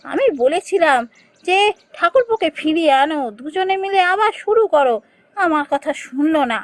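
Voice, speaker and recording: A woman voicing a caring, earnest village woman in a fairy-tale cartoon, expressive and melodramatic. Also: very high voice, moderate pace, no echo. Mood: regretful, earnest.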